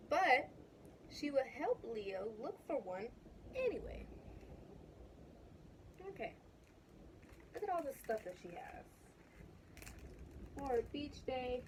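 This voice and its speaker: Slow-reading woman, a little high-voiced. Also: sing-song, noisy background. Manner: chatty, addresses young listeners with questions and asides. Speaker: storybook reader. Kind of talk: casual read-aloud of a children's picture book with asides.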